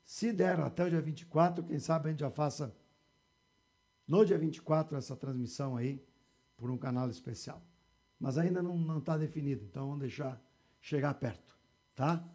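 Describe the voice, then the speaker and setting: A man's voice: a little high, normal speed, mellow. A man in his forties with a gaúcho accent from southern Brazil, hosting a local studio show and chatting casually with viewers. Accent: Rio Grande do Sul gaúcho Portuguese. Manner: chatty, sprinkles "tá?" and "né?". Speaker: TV show host. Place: small TV studio with banners.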